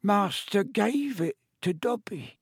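A man voicing an excitable, servile male house-elf with a shrill, squeaky voice and a halting, earnest manner. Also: vocal fry, slightly rough, no room sound, clean studio sound, heavily acted. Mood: awed.

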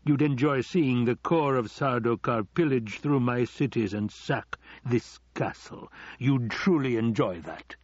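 A man voicing a scheming, silkily menacing older nobleman in an audiobook, with slow, drawn-out taunts. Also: medium pitch, darkish tone, dry recording, highs cut off, lightly acted.

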